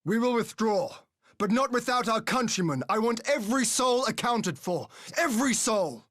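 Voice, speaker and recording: A man's voice: very high voice, expressive, normal speed, fairly bright tone, dry recording, studio quality, heavily acted. A man playing a proud, resolute noble lord commanding in battle in a video game, formal and urgent. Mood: urgent resolve. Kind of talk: dramatic video game acting.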